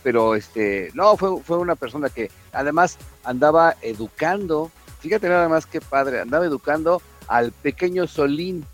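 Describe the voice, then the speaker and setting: A man's voice: a little high, animated, normal speed, few pauses. A middle-aged man with a Mexican accent co-hosting a casual radio chat full of reminiscing. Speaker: radio co-host. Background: background music.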